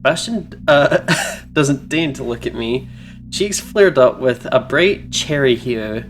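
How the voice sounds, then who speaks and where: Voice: medium pitch, expressive, slow pace, slight background noise. A game streamer giving casual, playful and reactive commentary while reading a visual novel's narration aloud. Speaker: game streamer, let's play host.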